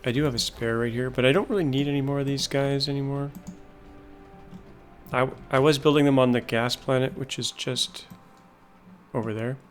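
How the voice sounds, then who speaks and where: A man's voice: medium pitch, steady tone, moderate pace, soft background music. Man musing aloud over a factory game. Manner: musing, thinking aloud while playing. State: losing his voice. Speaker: gameplay video host. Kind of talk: casual let's-play commentary.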